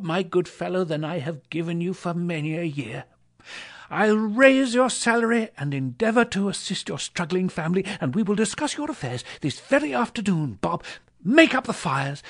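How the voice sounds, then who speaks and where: Man doing elderly ex-miser, giddy, high-pitched, husky, dry recording. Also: no echo, exaggerated voice. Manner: excited, raised and high.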